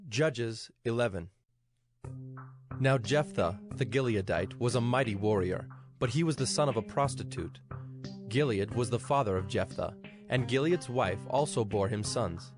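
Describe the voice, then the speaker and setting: A man narrating scripture from a script in a measured, solemn manner. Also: medium pitch, music in the background.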